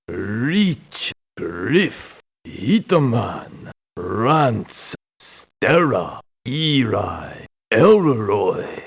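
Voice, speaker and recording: An actor playing a folksy, cocky cowboy mercenary in a video game, with a husky voice and broken English full of western slang. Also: male voice, fairly low pitch, heavily acted. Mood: flat roll call. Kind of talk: video game character voice lines.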